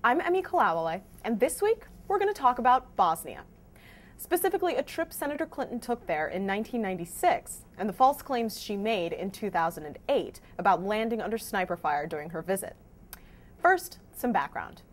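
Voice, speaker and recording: A woman's voice: mid-range voice, sing-song, fairly quick. A woman hosting a scripted news explainer, presenting to viewers in an emphatic, wry way. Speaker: host of a fact-checking news vidcast.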